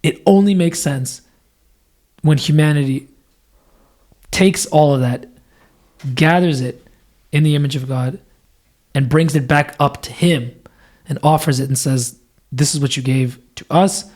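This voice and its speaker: A man's voice: on the high side, measured pace, pauses often, darkish tone. A man with a scratchy voice, a deacon explaining church worship in earnest, thoughtful conversation, building his points through repetition. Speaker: deacon guest.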